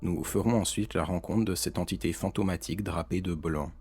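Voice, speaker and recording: A man giving scripted explanatory narration in French video-essay style, with a measured, serious storytelling manner. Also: deep voice, fairly even intonation, a little mellow, a little hiss or hum. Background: background music.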